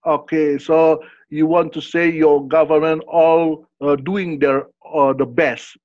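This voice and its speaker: Headset man, higher voice, halting phrasing. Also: level tone, no echo. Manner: halting non-native English, frequent fillers and encouraging backchannels. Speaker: interview host. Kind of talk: video call interview. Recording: dry lo-fi headset audio.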